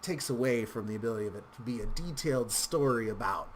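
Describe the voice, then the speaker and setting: A man hosting a casual software tutorial, talking in a rambling, informal way with wry asides. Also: mid-range voice, fast talker, a little hiss or hum. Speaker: tutorial host.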